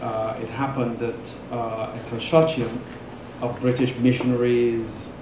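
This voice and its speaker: Nigerian man, Igbo native, measured lecturer full of ums, recorded in a roomy hall over steady background noise. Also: mid-range voice. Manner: measured, halting, many fillers. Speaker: guest speaker. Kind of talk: public lecture. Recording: echoey room with noise.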